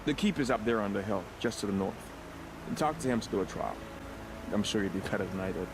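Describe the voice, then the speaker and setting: Give the part of a failed young hunter: low-pitched and gravelly, halting and self-deprecating, as in a video game. Clear ambient noise sits behind the voice. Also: male voice, animated. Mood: embarrassed, resigned.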